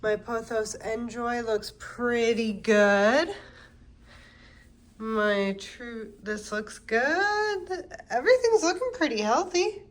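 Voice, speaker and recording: Slow-talking congested woman vlogger. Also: fairly high pitch. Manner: relaxed, chatty, walking through rooms with fillers. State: congested from a cold. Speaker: plant channel host. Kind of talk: casual home-tour vlog. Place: home, moving between rooms.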